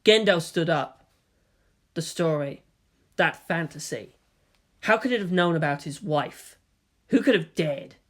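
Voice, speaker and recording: A woman's voice: sits low, animated, unhurried, long pauses. A woman reading a story aloud as dramatic scripted narration. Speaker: fan fiction reader.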